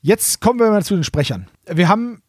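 A man's voice: high voice, lively intonation, brisk, no room sound, studio quality. A man co-hosting a podcast in casual, chatty conversation about radio plays. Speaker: podcast co-host. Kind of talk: casual chat about a radio play series.

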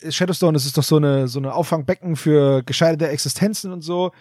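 A man's voice: a little high, fairly quick, darkish tone, no echo, clean studio sound. A man co-hosting a casual podcast chat, laying out plot details and reading passages from a book. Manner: explains plot details, reads aloud from the book. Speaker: podcast co-host.

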